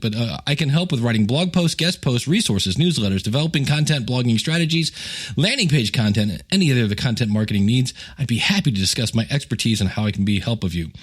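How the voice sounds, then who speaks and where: Middle-aged American man, casual podcast storyteller. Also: mid-range voice. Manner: conversational, wry, chuckles at own asides. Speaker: podcast host. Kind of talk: casual solo podcast storytelling, reading emails aloud.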